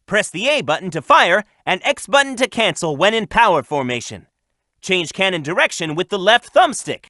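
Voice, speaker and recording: Game hero role: cocky young cartoon hedgehog, high and lively, confident delivery. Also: male voice, no echo, clean studio sound, exaggerated voice. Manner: brisk, confident, upbeat. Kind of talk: video game character voice acting, tutorial hints and quips.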